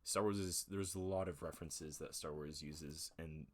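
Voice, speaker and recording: A man in his twenties hosting a relaxed show, rambling casually through book reviews with lots of fillers. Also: very deep voice, moderate pace. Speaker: host of a music and talk show.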